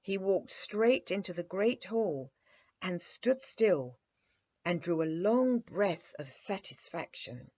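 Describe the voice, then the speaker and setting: A woman's voice: on the low side, animated, slow pace, darkish tone, dry recording, muffled recording. A woman storyteller narrating a children's bedtime story expressively.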